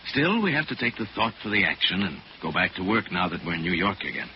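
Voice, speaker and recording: An actor playing a calm, authoritative crime-fighting detective in 1940s radio style, with measured, formal, explanatory delivery. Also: male voice, sits low. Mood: composed, thoughtful. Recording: noisy, muffled 1940s radio recording.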